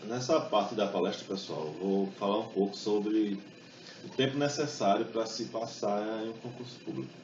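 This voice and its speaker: A young man gives a relaxed, conversational talk, dropping fillers and tag questions as he goes. He is caught from a distance in a big echoing hall, and the sound is muffled and dull with a faint hiss underneath. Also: lowish voice, normal speed. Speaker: speaker giving a talk. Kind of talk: public talk with exam study tips. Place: event hall.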